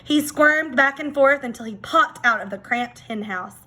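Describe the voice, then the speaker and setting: A woman reading a children's storybook aloud like a teacher, expressive, with dramatic emphasis. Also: high voice, moderate pace.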